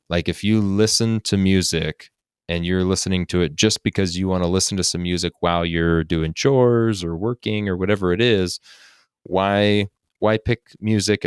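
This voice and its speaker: A man with a deep voice co-hosting a casual podcast, talking in an easygoing, hesitant way with many likes and I don't knows. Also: clean tone, dry recording, studio quality. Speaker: podcast co-host.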